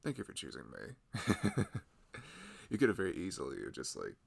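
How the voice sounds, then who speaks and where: A man playing a loving, nervous young husband and father-to-be in an intimate, rambling, soft-spoken ASMR roleplay. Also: huge pitch swings, normal speed, heavily acted. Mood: tender, loving. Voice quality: low, gravelly voice. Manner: rambling, soft-spoken, chuckling, often drifting toward a murmur.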